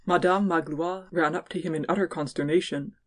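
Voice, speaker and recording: Slightly low-voiced woman narrating an audiobook. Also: fairly even intonation, fairly quick. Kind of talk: scripted audiobook narration of classic fiction.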